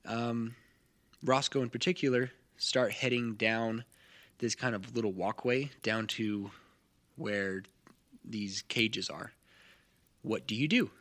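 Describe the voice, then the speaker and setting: A man narrating a tabletop game as game master, describing scenes in a halting way. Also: mid-range voice, fairly even intonation, a bit bright. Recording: noticeable background noise.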